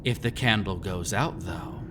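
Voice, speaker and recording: A man's voice: deep voice, a little slow, background noise. A man playing a sinister, centuries-old master of a haunted estate in a dramatic audio roleplay, teasing and taunting theatrically. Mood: whimsical threat. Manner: teasing, taunting, theatrical, with frequent sly chuckles.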